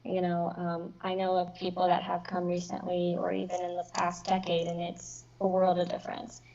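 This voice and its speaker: A woman's voice: fairly low pitch, completely flat delivery, normal speed, vocal fry, dark tone, dull audio, a little hiss or hum. A young woman from Mexico raised in Kentucky, with a light Kentucky accent, speaking reflectively as an interview guest over a video call. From Mexico, raised in Northern Kentucky. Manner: reflective, many fillers.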